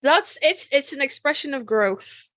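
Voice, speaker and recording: Woman, higher-pitched voice, podcast co-host, animated storyteller, casual and joking, sweary. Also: a little slow.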